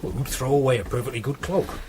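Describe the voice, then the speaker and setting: A man's voice: mid-range voice, quick pace, dark tone, natural voice. A man playing an anxious, questioning companion in a medieval radio drama, with an urgent manner. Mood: puzzled. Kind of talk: British radio drama acting. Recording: noisy ambient sound behind voice.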